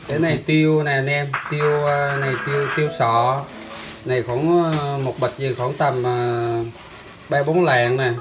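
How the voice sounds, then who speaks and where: A man's voice: mid-range voice, steady tone, moderate pace, background noise. A man with a southern Vietnamese accent narrating a vlog casually, chatting familiarly to his viewers. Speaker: vlogger. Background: music.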